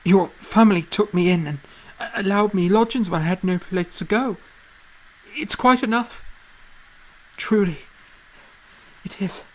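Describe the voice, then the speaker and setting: A man acting a weary, feverish young gentleman composer who speaks in halting, formal, courteous phrases in a period audio drama. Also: sits high, rough recording. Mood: insistent. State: feverish, weak.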